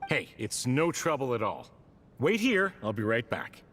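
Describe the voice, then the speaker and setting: A man playing a kindly, helpful gentleman in a video game, speaking in a friendly, polite way. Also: mid-range voice, sing-song, a little slow, in a large hall, a little hiss or hum.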